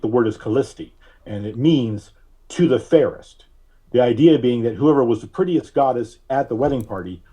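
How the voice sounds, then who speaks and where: A man being interviewed as a filmmaker, telling stories in an explanatory, conversational way with drawn-out pauses. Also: medium pitch, warm tone, husky. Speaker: guest, filmmaker.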